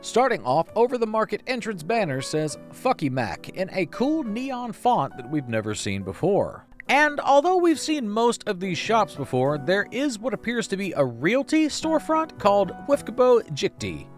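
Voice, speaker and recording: A man narrates an online video in a fairly high, very animated voice. His delivery is scripted but playful, full of wry and self-deprecating asides. Soft music plays underneath. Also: normal speed, few pauses. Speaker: YouTube video essay narrator.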